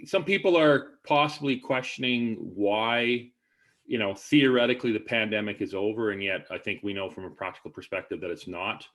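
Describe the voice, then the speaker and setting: A man with a low voice hosts an online webinar over a video call. He talks haltingly, restarting sentences and leaning on fillers as he sets things up. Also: a bit bright. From Canada. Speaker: webinar host.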